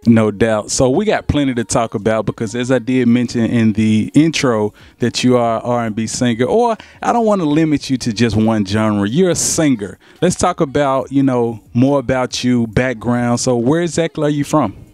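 Young male host, energetic promo delivery, dry close handheld mic. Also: medium pitch, moderate pace, few pauses, no echo. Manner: hype, promotional, casual slang. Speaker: talk show host. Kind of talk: upbeat talk show hosting. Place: small studio set with couch.

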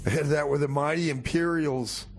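A man's voice: on the high side, steady tone, unhurried, a little hiss or hum. A man playing a laid-back radio DJ in casual comedic banter, loose and agreeable. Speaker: radio show host and DJ.

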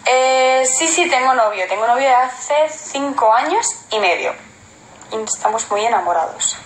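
Spanish-accented woman, 20s, reads out fan comments on a phone stream, slightly high voice, echoey room. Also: slight background noise. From Spain. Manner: switches between Spanish and halting English, answers viewers' comments. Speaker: model on a livestream. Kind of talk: casual livestream chat reading comments. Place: indoor room at home. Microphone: phone held at arm's length.

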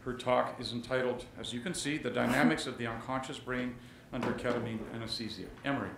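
A man's voice: mid-range voice, steady tone, fast talker, gravelly, noisy background. An older man chairing a conference session, introducing speakers from a podium in a genial, big-voiced manner. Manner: genial, loud, says he has a big voice. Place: lecture hall at a podium. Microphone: podium microphone. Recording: roomy hall sound.

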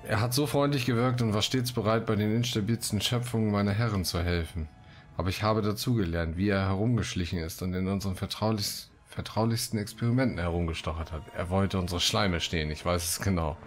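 A man's voice: fairly low pitch, fairly even intonation, a little fast, soft background music. A man hosting a let's play, mixing casual commentary with read-out quest texts.

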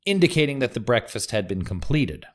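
A man's voice: mid-range voice, very animated, a little fast, natural voice. A man playing a methodical prosecuting lawyer, putting formal, probing questions in a courtroom dramatization.

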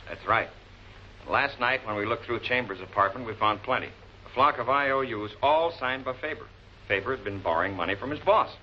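A man's voice: mid-range voice, animated, brisk, natural voice. A man playing a confident police lieutenant in a 1940s television crime drama, blunt, clipped and matter-of-fact. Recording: muffled, noisy old film soundtrack.

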